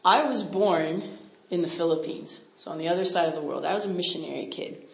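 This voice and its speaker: A woman's voice: fairly low pitch, a little fast. Roomy-sounding woman teaching conversationally. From Canada. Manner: conversational, chatty, with frequent "like" and "right?" asides. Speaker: missionary speaker. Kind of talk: casual Bible teaching talk with audience questions. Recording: roomy echo.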